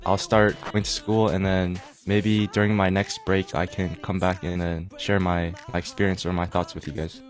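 A young man co-hosting a casual podcast, explaining things in an easygoing, relaxed way with a light music bed behind. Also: sits low, moderate pace, few pauses. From United States. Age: young adult. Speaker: co-host. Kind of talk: casual bilingual podcast chat.

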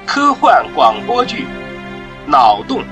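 A man's voice: fairly high pitch, wildly swooping pitch, takes it slowly. A man giving solemn, dramatic scripted narration for a radio drama. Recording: prominent music behind the voice. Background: music.

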